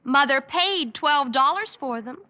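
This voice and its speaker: An actress playing a sweet, coaxing teenage daughter in a 1950s radio sitcom. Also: female voice, sits high, lively intonation, a little slow, pushed and bright, heavily acted. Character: sweet chirpy teenage girl, principal's daughter.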